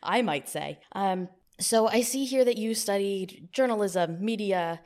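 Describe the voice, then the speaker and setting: A woman podcast host running a mock-stern job interview in a deadpan manner, recorded in an echoey new studio. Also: mid-range voice, unhurried.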